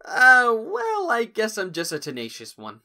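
A man's voice: high-pitched, wildly swooping pitch, unhurried, vocal fry, noisy background, exaggerated voice. A man playing a curious, observant young human in an audio drama, talking in a casual, slightly hesitant way. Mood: nervous.

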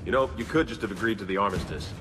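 An actor voicing a skeptical, sardonic soldier who challenges and needles others in a video game. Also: male voice, fairly low pitch, expressive, rapid-fire, loud music behind the voice, natural voice. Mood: needling.

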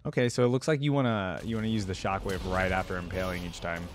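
A man's voice: low-pitched, steady tone, fast talker. A young man giving casual, informal gameplay reaction commentary, musing aloud into a close microphone. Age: young adult. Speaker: reaction video host. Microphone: close desktop microphone with headset. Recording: clear background noise.

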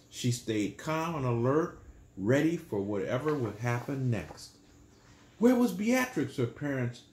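A man in his sixties reading a children's bedtime story aloud in a small room, pausing to explain things simply and patiently. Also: mid-range voice, roomy sound.

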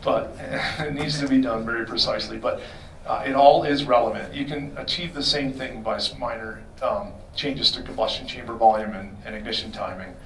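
A man's voice: medium pitch, fairly even intonation, normal speed, fairly bright tone, a little hiss or hum. Middle-aged man, technical Q&A talk, handheld mic, roomy hall sound, heard from afar. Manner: explanatory, conversational, self-correcting. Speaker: performance shop expert. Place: hotel meeting room with audience. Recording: roomy sound from a distant camera.

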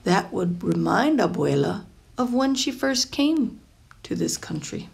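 Creaky-voiced woman reading a picture book. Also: mid-range voice, sing-song, a little slow, a little mellow. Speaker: storybook reader.

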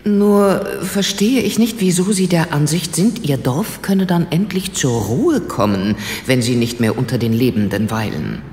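A woman's voice: low-pitched, lively intonation, a little slow, few pauses, a little mellow, echoey room, in a large hall, a little hiss or hum. A woman playing a polite, determined investigator in a German radio play, courteous and direct. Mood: courteous, probing.

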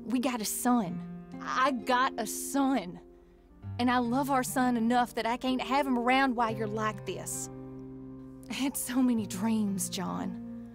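High-voiced, heartsick frontier wife, over music. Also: female voice, unhurried. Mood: sorrowful, resolved. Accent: American, rural Western. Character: hurt, earnest frontier wife and mother. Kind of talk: letter read aloud in video game drama. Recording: loud music under the voice. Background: instrumental music.

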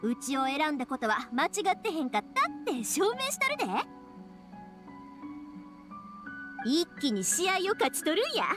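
A woman's voice: high-pitched, sing-song, brisk. A woman voicing a cheerful, confident young heroine with a Kansai accent in an anime game. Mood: confident, eager. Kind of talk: Japanese anime game voice acting. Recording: background music behind dialogue.

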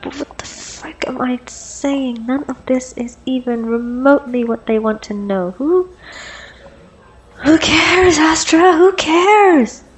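A woman's voice: sits high, slow pace, breathy edge, heavily acted, poor audio. A woman playing a flustered, awkward young doctor on a date in an ASMR roleplay, stammering nervously and self-consciously. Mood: embarrassed self-scolding.

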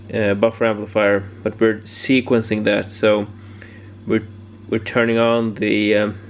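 A man giving a casual, unscripted hobby demonstration, haltingly searching for words, in a home workshop. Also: fairly low pitch. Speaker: amateur radio hobbyist presenting homebrew project. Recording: clear background noise, room ambience.

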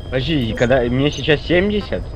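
A man's voice: medium pitch, animated, a little fast, a crowd in the background, rough recording. A man chatting casually during a game in a hesitant, puzzled way. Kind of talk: casual chat while gaming.

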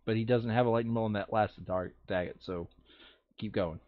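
A man's voice: fairly low pitch, steady tone, brisk, no echo. A man in a casual board game session, reading out moves and explaining rules in an instructive, insistent way. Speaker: tabletop game player reading out enemy moves. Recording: dull, lo-fi microphone sound.

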